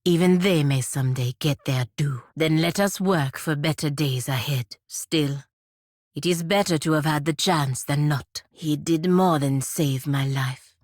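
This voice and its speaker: An actress playing a proud, dutiful female warrior in a fantasy video game, speaking in a measured, formal, grave way. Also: deep voice, darkish tone, no room sound, studio quality. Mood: earnest. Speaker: video game character voice actor. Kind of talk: scripted video game dialogue.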